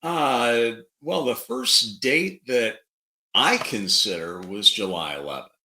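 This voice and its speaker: A middle-aged man from Dallas, Texas, co-hosting a podcast in casual conversation with a halting, reflective manner. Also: medium pitch, expressive, sharp tone. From Dallas, Texas, United States. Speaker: podcast co-host.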